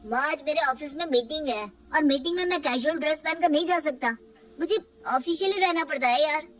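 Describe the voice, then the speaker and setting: A woman's voice: high-pitched, a little fast, a bit bright, voice pushed up. A woman voicing a young husband who reasons patiently but gets exasperated with his mother. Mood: reasoning. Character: dutiful young husband, hesitant and torn.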